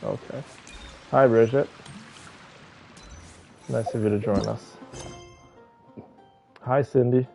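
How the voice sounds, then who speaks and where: Male streamer, relaxed dark tone with plenty of fry, affectionate casual game commentary, faint music. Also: mid-range voice, takes it slowly, long pauses. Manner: laid-back, affectionate with viewers. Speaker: game livestreamer. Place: bedroom, on a bed. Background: soft game music.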